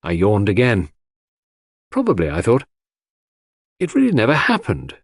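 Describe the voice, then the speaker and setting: Guy reading an audiobook story, lowish voice, really lively intonation, dry close sound. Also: moderate pace, breathy edge, dry recording. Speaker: audiobook narrator. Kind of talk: scripted first-person story narration.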